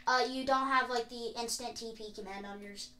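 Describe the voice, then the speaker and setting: A woman with a fairly high voice chats casually through a gaming session as a player and co-host. The room around the voice is audibly echoey. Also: steady tone, normal speed, bright, forceful tone. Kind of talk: casual gameplay chat.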